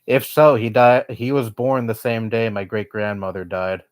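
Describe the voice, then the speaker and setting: Young man, streamer, lowish voice, dry close sound, blunt, cusses a lot. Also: fairly even intonation, normal speed, dry recording. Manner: blunt, swears often, rambling opinions. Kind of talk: casual live-stream chat.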